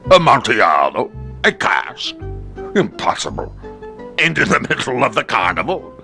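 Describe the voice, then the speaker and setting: A vain, drunken reveler in a gothic tale. He blusters and boasts in slurred exclamations, with a pitch that leaps about and a creaky, forceful edge. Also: huge pitch swings, moderate pace, faint music behind, exaggerated voice. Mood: drunken astonishment. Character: drunken, blustering, vain male wine connoisseur in carnival motley.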